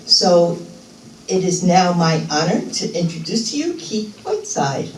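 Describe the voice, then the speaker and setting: A woman leading a church service and introducing the guest speaker, warm and stumbling a little over names. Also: fairly low pitch, big pitch swings, unhurried, distant mic, heavy background noise. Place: church sanctuary. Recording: echoey church hall, voice from afar.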